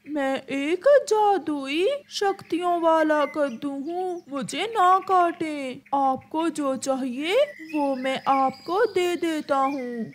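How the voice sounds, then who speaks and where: A woman voicing a male magical talking pumpkin in a children's cartoon, earnest and pleading. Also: high voice. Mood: pleading, tearful. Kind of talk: Hindi children's cartoon story acting.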